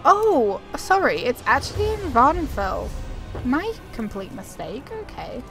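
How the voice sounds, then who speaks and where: A young woman with a fairly high voice chats casually through a game playthrough. She rambles informally in a giggly way, with game music playing behind her. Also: very animated, a little slow, fairly bright tone. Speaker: gaming video host.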